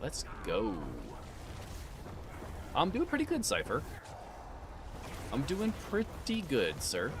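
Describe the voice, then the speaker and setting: A man's voice: on the high side, huge pitch swings, takes it slowly, long pauses. A man chatting casually with viewers as he plays a game live, greeting them by name and calling them sir. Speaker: livestream gamer. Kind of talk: casual live-stream chat while gaming. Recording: clear background noise.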